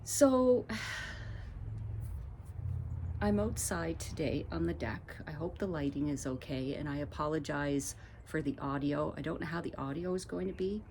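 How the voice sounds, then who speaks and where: A woman's voice: low voice, lively intonation, measured pace, darkish tone, a little hiss or hum. A woman in her fifties vlogging casually from her deck, a bit stuffy from allergies, rambling and laughing as she talks. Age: 50s. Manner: chatty, rambling, laughs often. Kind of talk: casual vlog update. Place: outdoor deck. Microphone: camera mic, no lapel mic.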